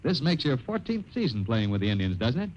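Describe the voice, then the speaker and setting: A man's voice: medium pitch, sing-song, a little fast, a little mellow. A man with an American accent interviewing as the announcer of a scripted 1950s radio commercial. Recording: dull, noisy old radio recording.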